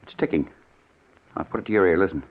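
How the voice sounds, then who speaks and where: A man's voice: low-pitched, fast. An actor playing a jumpy, irritable, blustering man in an old-time radio mystery. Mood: curious, uneasy. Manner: blustering, hesitant when alarmed, snappish. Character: wealthy, jumpy, irritable man hounded by vengeance. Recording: muffled, tinny old radio recording.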